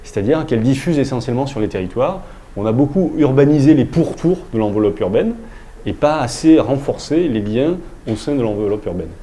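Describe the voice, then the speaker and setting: A man, a local official, explaining in a public presentation style with halting, pause-filled delivery. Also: medium pitch, lively intonation, dark tone, slight background noise.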